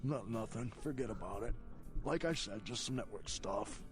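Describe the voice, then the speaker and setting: An actor playing a nervous, eager vampire tech head in a video game, stammering through casual slangy talk. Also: male voice, fairly low pitch, normal speed, soft background music, natural voice. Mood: evasive, casual. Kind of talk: video game character dialogue.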